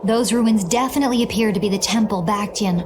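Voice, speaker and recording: A woman's voice: mid-range voice, fairly quick, noisy background, natural voice. A woman playing a serious, analytical young character in a dramatic video game.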